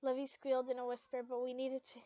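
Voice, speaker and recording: A young woman reading fan fiction aloud in an informal, excitable way, stumbling over words and dropping into casual asides. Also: high-pitched, fast talker, no echo. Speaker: amateur fan fiction reader. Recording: muffled lo-fi home recording.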